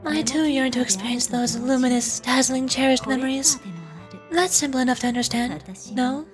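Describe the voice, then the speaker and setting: Woman voicing an enigmatic, elegant high-voiced game woman. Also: fairly even intonation, moderate pace, faint music behind, lightly acted. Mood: poised, alluring. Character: enigmatic, elegant woman, memory keeper and collector. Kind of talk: live read-aloud of video game dialogue.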